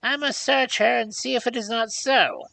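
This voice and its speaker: A woman's voice: on the high side, steady tone, normal speed, vocal fry, pushed and bright, no echo, pitched above the performer's own voice. A woman voicing a miserly old hag in a storybook, grumbling, sarcastic and mocking as she haggles. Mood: grim, scornful. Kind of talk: storybook character voice.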